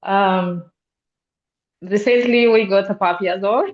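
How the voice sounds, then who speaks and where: A woman's voice: mid-range voice, a little slow. A woman in her twenties from Romania, not a native English speaker, talking earnestly as a podcast guest with many hesitations. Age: 20s. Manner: earnest, hesitant with many ums, laughs often. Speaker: guest, security officer. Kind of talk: casual interview chat. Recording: dull lo-fi sound.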